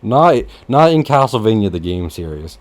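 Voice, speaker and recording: A man hosting a casual podcast chat, rambling and jokey, with easy swearing and an animated delivery. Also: fairly low pitch, normal speed. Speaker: podcast host.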